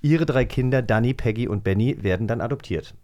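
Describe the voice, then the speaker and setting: A man's voice: medium pitch, fairly quick, dark tone. A man co-hosting a podcast in casual chat, playful and dropping in bits of English slang. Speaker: podcast co-host.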